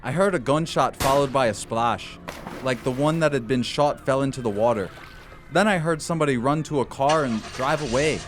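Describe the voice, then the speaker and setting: A man's voice: mid-range voice, normal speed, over music, lightly acted. A man playing a folksy, skittish dockside informant in a 1940s noir radio drama. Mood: uneasy, apologetic.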